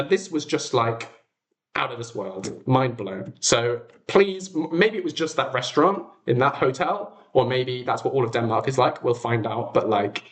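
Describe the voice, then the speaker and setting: Animated young English man chatting casually. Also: fairly high pitch. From England. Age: 20s. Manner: animated, chatty, self-deprecating. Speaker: livestream host. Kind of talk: casual rambling livestream chat.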